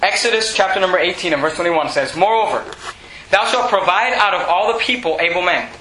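A man in his twenties preaching an impassioned sermon with scripture readings, speaking in an emphatic, repetitive way that often pushes toward shouting. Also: high voice, expressive, a little fast. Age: 20s. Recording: echoey room with faint noise.

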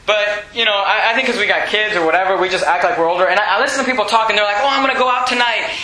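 A man in his twenties preaching an impassioned sermon with scripture readings, speaking in an emphatic, repetitive way that often pushes toward shouting. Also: high-pitched, racing. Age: 20s. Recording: echoey room with faint noise.

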